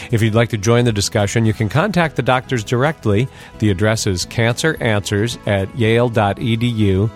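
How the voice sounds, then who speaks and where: A man announcing a radio show in a formal, scripted introduction. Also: lowish voice.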